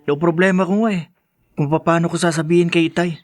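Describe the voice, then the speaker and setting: Actor as easygoing young Filipino brother. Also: male voice, fairly high pitch, fairly even intonation, fairly quick, close to an everyday voice. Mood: concerned. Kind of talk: Filipino podcast radio drama acting.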